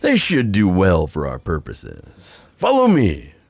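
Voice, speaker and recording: Sea god for ASMR roleplay, male actor: confident, flirtatious inventor, gravelly low register, teasing delivery, close dry sound. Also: huge pitch swings, no room sound, full character voice. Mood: playful, teasing. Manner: teasing, theatrical, drawn-out phrasing.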